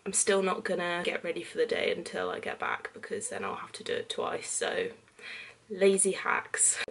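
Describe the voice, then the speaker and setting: A woman's voice: fairly low pitch, sing-song, moderate pace, rough recording. Chatty young woman vlogging in her bedroom. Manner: chatty, self-deprecating, laughs at herself. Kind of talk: casual vlog chat. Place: small bedroom, indoors. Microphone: camera mic at arm's length.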